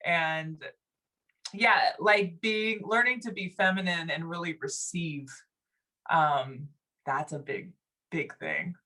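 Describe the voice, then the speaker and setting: Woman, from Texas, bright and forceful tone, laughs often, guest singer chatting. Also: lowish voice, slow, low-quality recording. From Texas, USA. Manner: laughs often, gets loud when excited. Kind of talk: casual podcast conversation.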